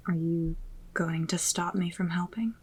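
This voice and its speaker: An actress playing a determined, loyal fortune-teller in an audio drama, speaking in a hushed, matter-of-fact, naturalistic way. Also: female voice, fairly low pitch, a little slow. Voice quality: husky, breathy. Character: determined, loyal female fortune-teller joining a dangerous mission. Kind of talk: audio roleplay acting, naturalistic.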